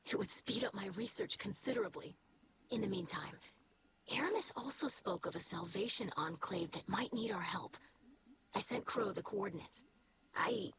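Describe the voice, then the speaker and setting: A woman voicing an earnest researcher ally in a game, soft-spoken, confiding and a little hesitant. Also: mid-range voice, close to an everyday voice. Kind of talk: video game dialogue. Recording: game ambience with clear background noise.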